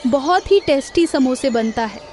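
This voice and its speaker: Lady doing a squeaky little village boy, whiny, keeps begging, cartoon. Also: animated, normal speed, darkish tone, soft background music. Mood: eager. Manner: whiny, pleading. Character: hungry pleading village boy. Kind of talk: cartoon voice acting.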